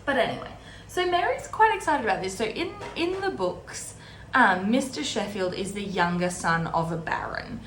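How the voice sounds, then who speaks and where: A woman's voice: mid-range voice, very animated, moderate pace, a bit bright. Chatty woman rambling in an echoey room. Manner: chatty, wry, digressive with asides. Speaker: host of a book readalong series. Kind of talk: casual rambling book discussion. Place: indoor room at a desk. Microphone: camera set on or near desk. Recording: roomy echo, background room noise.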